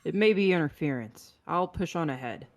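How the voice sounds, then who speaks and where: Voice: mid-range voice, expressive, moderate pace, natural voice. A performer reading a determined male spaceship captain in a sci-fi table read, terse and purposeful.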